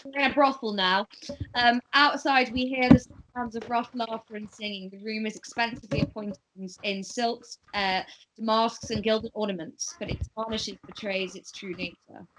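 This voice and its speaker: A woman's voice: a little high, steady tone, moderate pace, noisy background. A woman narrating a table read, reading stage directions aloud and at times stumbling over words.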